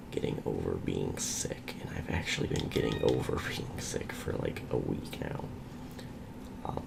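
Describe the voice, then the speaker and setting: A young man giving soft, rambling, half-whispered game commentary, nasal from a cold. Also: very deep voice, gravelly, rough recording. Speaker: let's play host. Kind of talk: whispered ASMR gameplay commentary. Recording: loud background hiss.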